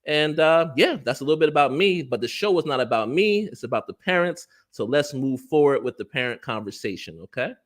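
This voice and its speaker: A man's voice: a little high, big pitch swings, a little fast, few pauses. A man with an American accent from New Jersey, hosting a parent panel on a video call, friendly, with frequent laughs and fillers. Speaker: parent relations executive and panel host.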